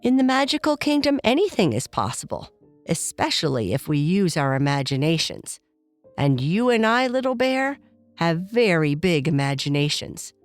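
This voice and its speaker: A woman voicing a gentle, patient and loving father bear in a children's bedtime story, reassuring and playful. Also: lowish voice, big pitch swings, moderate pace, soft background music.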